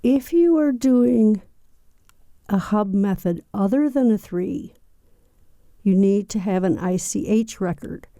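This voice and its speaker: A woman giving an unscripted, step-by-step software walkthrough in a methodical, explanatory manner. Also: mid-range voice, animated, slow, dry recording, studio quality. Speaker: software tutorial presenter.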